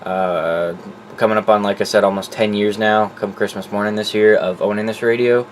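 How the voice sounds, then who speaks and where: A man with a fairly low voice talks as a hobby collector showing his things. His delivery is informal and unscripted, with loose, salty language. A faint steady noise sits behind him. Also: moderate pace. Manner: informal, profane. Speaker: collector presenting his weather radio collection. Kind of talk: casual off-the-cuff show-and-tell.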